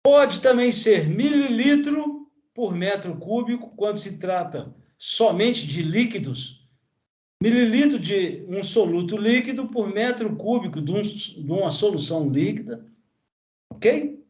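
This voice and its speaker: Older brazilian guy teaching chemistry, high voice jumping all over, lots of "ok, everyone?", echoey room. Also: expressive, a little slow, darkish tone. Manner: pointing at a graph with constant tag questions and fillers. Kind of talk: online classroom lecture.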